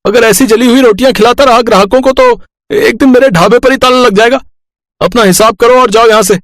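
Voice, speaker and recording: A man's voice: very high-pitched, brisk, fairly bright tone, dry recording, studio quality. A man voicing an angry, hard-nosed roadside eatery owner, blunt and scolding, as a storybook character.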